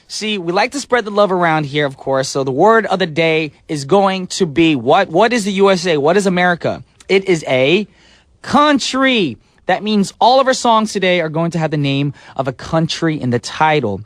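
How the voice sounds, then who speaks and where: Brief: upbeat male radio DJ, slightly high voice, dry close studio mic. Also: animated, normal speed, dry recording. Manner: playful, breaks into sung snippets and silly voices. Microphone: studio microphone.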